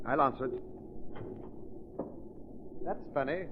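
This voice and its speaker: An actor playing an easygoing, talkative ship's steward in 1930s radio drama style. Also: male voice, medium pitch, slow pace, close to an everyday voice. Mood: chatty. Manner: chatty, colloquial. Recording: muffled, noisy old radio recording.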